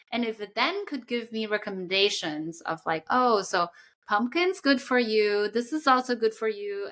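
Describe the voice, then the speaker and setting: Bright-voiced woman co-hosting a chat. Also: on the high side. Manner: thoughtful, explains at length with many fillers. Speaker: podcast co-host. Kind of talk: casual chat.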